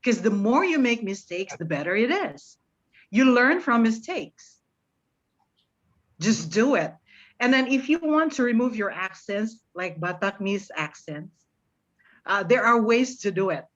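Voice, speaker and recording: A woman's voice: on the high side, expressive, unhurried. A middle-aged woman from Indonesia with an American-influenced accent, an encouraging teacher giving informal, instructive advice to learners. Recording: dull, lo-fi online meeting audio.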